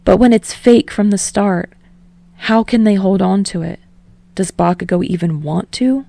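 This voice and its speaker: A woman with a light vocal fry reading fan fiction aloud in a calm, even storytelling manner. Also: medium pitch, unhurried, dry recording. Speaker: podfic reader. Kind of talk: scripted narration of fan fiction.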